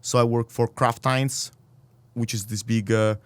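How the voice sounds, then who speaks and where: A man in his thirties with an Italian accent, a native Italian speaker, talking as a podcast guest in earnest, halting, restarted phrases. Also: mid-range voice, fairly even intonation, clear, resonant tone, no echo. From Italy. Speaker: podcast guest, startup accelerator director. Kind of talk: casual interview conversation.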